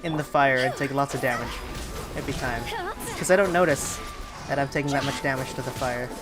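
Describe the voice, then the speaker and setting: A game streamer chats casually through live play in a mid-pitched voice. The talk rambles and doubles back as thoughts come out loud. Music plays clearly behind the voice. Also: brisk. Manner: rambling, self-interrupting, thinking aloud. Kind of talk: casual live gameplay commentary.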